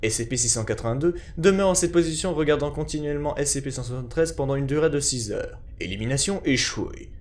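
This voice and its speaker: Casting: male narrator, average pitch, formal scripted report reading. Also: animated, fast, few pauses.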